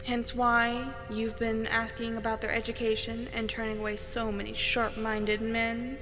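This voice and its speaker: A woman's voice: a little high, completely flat delivery, normal speed, close to an everyday voice. Woman doing smug evil sister, vengeful n gleeful, audio drama, echoey hall, music under it. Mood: smug, bitter.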